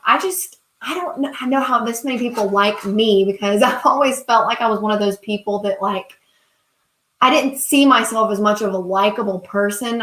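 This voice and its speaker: A woman's voice: medium pitch, a bit bright. Chatty Southern woman on a live stream. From South Carolina, US. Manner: chatty and gushing, reading viewer comments aloud. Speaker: crafting channel host. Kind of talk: casual live stream chat while working. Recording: roomy echo.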